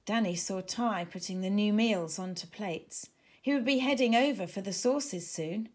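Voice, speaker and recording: A woman reading a children's storybook aloud in an expressive, deliberate way. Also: medium pitch. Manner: animated, deliberate. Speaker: author reading own storybook.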